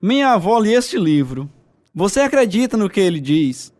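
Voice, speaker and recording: High-voiced Soviet officer, authoritative. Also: male voice, very animated, moderate pace, darkish tone. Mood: softening, reflective. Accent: Brazilian. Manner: authoritative, formal. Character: stern Soviet lieutenant colonel with a hidden soft side. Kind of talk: radio drama acting.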